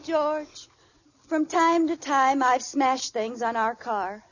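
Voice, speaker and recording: High-pitched melodramatic housewife, old radio. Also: female voice, takes it slowly, a bit bright, exaggerated voice. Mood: solemn confessing. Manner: melodramatic, theatrical. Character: dramatic scheming housewife who believes she is dying. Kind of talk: 1940s radio sitcom acting. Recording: muffled, noisy old-time radio recording.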